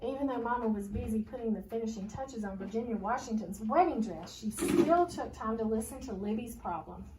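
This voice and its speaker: High-voiced woman reading a storybook aloud. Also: lively intonation, brisk, hardly any pauses. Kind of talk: expressive storybook read-aloud. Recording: noisy, distant microphone.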